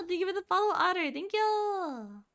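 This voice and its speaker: A woman's voice: very high voice, sing-song, normal speed, fairly bright tone, a little hiss or hum. A woman streamer chatting casually to her live audience in a bubbly, giggly way. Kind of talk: casual livestream chat.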